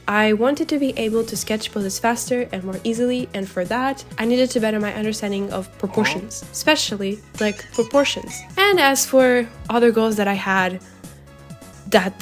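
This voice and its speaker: A woman's voice: a little high, moderate pace. A young woman narrating her art vlog in a scripted voice-over, sounding nasal from a cold, with a dry, self-mocking humor. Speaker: art vlogger. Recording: soft background music.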